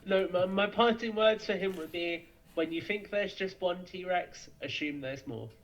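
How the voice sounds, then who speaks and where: A man's voice: high-pitched, unhurried, low-quality recording. A man chatting as a podcast guest over a remote connection, explaining his thoughts fluently. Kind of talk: casual interview chat.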